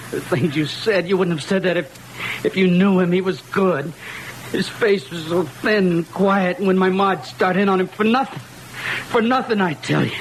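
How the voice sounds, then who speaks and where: Actor as hot-tempered aggrieved husband, high male pitch, agitated, pleading, noisy vintage radio drama. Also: close to an everyday voice. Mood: shaken, defensive. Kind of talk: old-time radio drama acting. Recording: noisy old-time radio recording.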